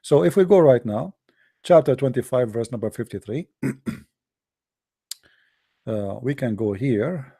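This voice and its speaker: A man hosting a combative call-in debate, his voice tired and dry, who keeps saying "my friend" and often clears his throat. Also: medium pitch, slow pace, long pauses, warm tone. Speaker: host of an online call-in debate.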